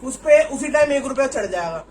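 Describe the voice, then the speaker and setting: A man's voice: extremely high pitch, lively intonation, a little fast. A man joking and teasing his family in casual banter. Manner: teasing, jokey. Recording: noisy, distant phone recording.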